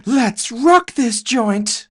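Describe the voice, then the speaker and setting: A man voicing a female kitsune fight announcer in cyberpunk audio fiction, showy and rousing, with booming, drawn-out ring calls. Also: animated, husky, exaggerated voice. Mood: rousing showmanship.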